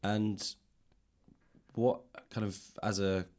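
A man's voice: fairly low pitch, level tone, drawn-out words, a little mellow. A man interviewing in a casual, thoughtful, roundabout way as a podcast host. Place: library room.

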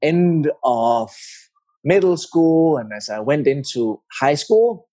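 A middle-aged man with a Danish accent, telling his story as an interview guest in measured, explanatory phrases. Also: fairly high pitch, lively intonation, creaky, dark tone. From Denmark.

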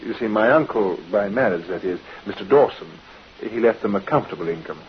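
A man playing a genial, smooth-talking and canny cousin in a vintage radio drama, affable, teasing and ready with advice. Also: on the low side, animated, a little fast, lightly acted. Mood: explaining. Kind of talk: 1950s radio drama acting. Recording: muffled old radio recording, faint hiss.

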